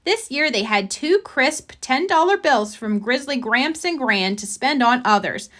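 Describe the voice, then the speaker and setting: A woman's voice: high-pitched, expressive, measured pace, a bit bright. A woman reading a children's picture book aloud as a storybook narrator. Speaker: storybook reader.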